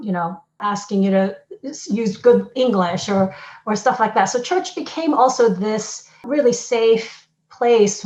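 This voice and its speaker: A woman's voice: mid-range voice, a little mellow. A woman pastor, born in the Philippines and raised in Korea and Ohio, reflecting thoughtfully on her past with many fillers and restarts. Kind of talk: personal reflection, casual. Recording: roomy, dull lo-fi sound.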